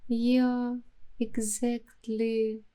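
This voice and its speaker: A woman hosting an ASMR roleplay, speaking softly and reflectively in gentle, affirming words. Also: fairly high pitch, level tone. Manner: soft, slow, reflective and affirming. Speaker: ASMR host.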